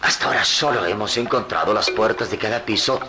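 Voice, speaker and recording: An actor playing a calm, strong hero in a radio serial, steady and determined even while straining. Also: male voice, lowish voice, fast, natural voice. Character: strong, calm heroic adult man. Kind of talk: 1960s radio serial acting. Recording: old radio drama under loud music.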